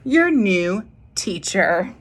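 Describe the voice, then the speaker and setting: A female storybook principal who takes charge , as a woman reader puts her on. She gushes, moves things along briskly and reassures everyone. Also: mid-range voice, very animated, slight background noise, exaggerated voice. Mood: announcing. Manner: gushing, brisk. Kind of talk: children's storybook read-aloud.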